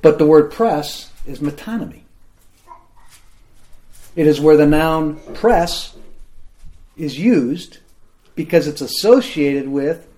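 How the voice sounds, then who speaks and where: A man's voice: a little high, animated, slow pace, long pauses, mellow. A man teaching the Bible to a group in a room, explaining in a plain, conversational way and often checking in with his listeners.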